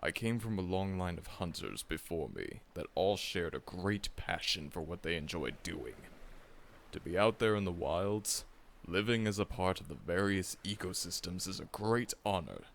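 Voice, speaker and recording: A man's voice: deep voice, gravelly, a bit bright, a little hiss or hum, full character voice. A man voicing a seasoned, confident monster hunter who acts as a friendly mentor, reassuring the listener, calling them kid and chuckling often in an ASMR audio roleplay.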